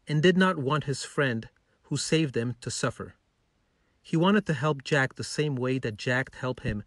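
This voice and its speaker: A man's voice: mid-range voice, no echo, clean studio sound. A man narrating a scripted bedtime story for children as a storyteller.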